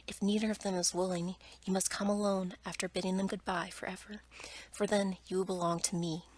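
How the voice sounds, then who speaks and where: A stern, commanding male beast of a fairy tale, as whispered by a woman reader. The voice is breathy and husky, hushed yet formal and grim. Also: on the low side, steady tone, brisk. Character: stern, angry male beast, lord of a palace.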